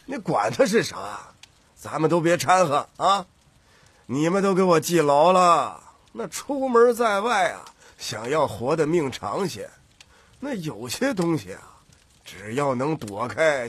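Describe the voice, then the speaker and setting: A man playing a thrifty, bossy old grandfather who heads a troupe, with a husky, drawling, lecturing delivery in an audiobook. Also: on the high side, very animated, measured pace, fairly bright tone, full character voice. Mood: stern warning. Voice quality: husky, raspy. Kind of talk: audiobook character acting.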